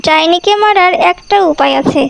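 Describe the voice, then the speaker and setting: A woman's voice: extremely high pitch, normal speed, creaky. A woman voicing a solemn water fairy in a fairy-tale cartoon, giving grave guidance. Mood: solemn warning.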